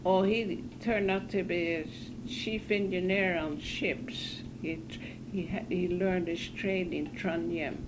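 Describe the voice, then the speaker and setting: A woman in her nineties from northern Norway, recalling her life haltingly in an oral history interview in her apartment. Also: fairly low pitch, fairly even intonation. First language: Norwegian. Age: 90s. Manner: halting, hesitant, self-correcting. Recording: hissy 1980s cassette tape.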